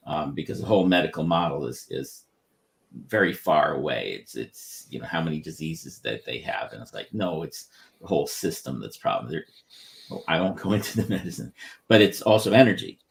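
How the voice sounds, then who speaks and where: A man explaining his inventions on a casual livestream, rambling and halting with many restarts. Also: very deep voice, lively intonation, vocal fry. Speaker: inventor presenting research.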